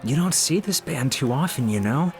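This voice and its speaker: A man voicing a shady, pushy drug dealer in a video game, slick and coaxing but quick to get defensive. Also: mid-range voice, animated, soft background music, close to an everyday voice.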